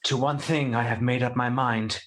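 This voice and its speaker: A man's voice: medium pitch, fairly even intonation, moderate pace, fairly bright tone, natural voice. A man playing a devoted, determined young husband in a radio play, speaking urgently and earnestly in distress. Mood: resolved.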